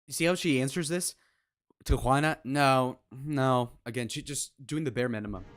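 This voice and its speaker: A man's voice: medium pitch, moderate pace, a bit bright. A young man streaming live, reacting to clips with blunt, mocking, crude running commentary in a casual way.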